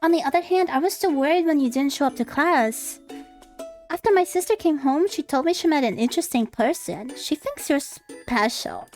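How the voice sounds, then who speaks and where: Girl streamer w high voice, super animated, reads game lines out loud and reacts, bit of music under her. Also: moderate pace. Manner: expressive, dramatic reactions. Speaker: streamer, VTuber. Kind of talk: livestream visual novel playthrough, reading dialogue aloud with casual reactions.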